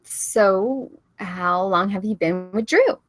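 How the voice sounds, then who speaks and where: A woman's voice: mid-range voice, slow pace, no echo. A woman playing a hurt, confrontational young woman in a drama table read. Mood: awkward, polite.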